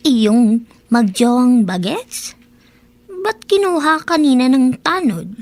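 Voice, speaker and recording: A woman acting a gossipy neighbour in a Filipino radio drama, speaking in an animated, newsy way. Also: high-pitched, slow pace. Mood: gossipy alarm. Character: gossipy neighbourhood woman relaying bad news.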